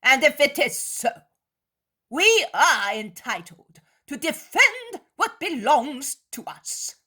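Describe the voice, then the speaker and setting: A woman doing a wrathful, threatening high priest. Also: sing-song, takes it slowly, heavily acted. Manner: menacing, shouting.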